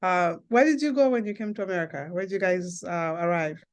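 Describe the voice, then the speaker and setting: A woman's voice: on the low side, fairly quick. A woman hosting a podcast interview, asking short curious questions with small hesitations. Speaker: podcast host. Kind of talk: casual interview conversation.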